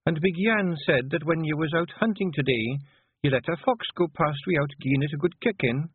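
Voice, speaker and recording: A man voicing a tiny Scottish wife and clan matriarch, brisk and bossy, in a storybook reading. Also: fairly high pitch, breathy edge, dry recording, phone-quality sound, voice pushed up. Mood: coaxing.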